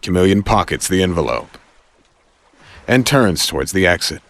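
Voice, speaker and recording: Deep-voiced man narrating with dramatic swings. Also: expressive, a bit bright. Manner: suspenseful, deliberate phrasing with dramatic pauses. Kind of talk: dramatic scripted story narration.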